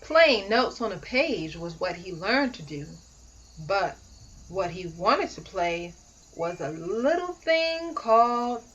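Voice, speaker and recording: A woman reading a children's story aloud as host, expressive and dramatic, drawing out key words for emphasis. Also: medium pitch, takes it slowly, highs cut off. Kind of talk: read-aloud children's picture book narration.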